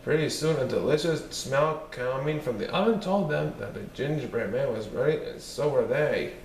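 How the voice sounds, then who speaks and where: A man reading a children's story aloud in a lively, expressive way. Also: medium pitch, moderate pace, far from the mic, a little hiss or hum. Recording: roomy echo, faint ambience.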